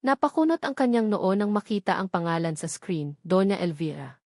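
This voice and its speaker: A woman's voice: medium pitch, moderate pace, darkish tone, no room sound, studio quality. A woman with a Filipino accent narrating a scripted romantic drama as a storyteller.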